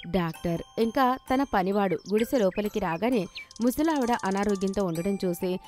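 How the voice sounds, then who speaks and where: A woman's voice: medium pitch, animated, normal speed, darkish tone, soft background music. A woman telling scripted children's moral stories as narrator. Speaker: storyteller, narrator.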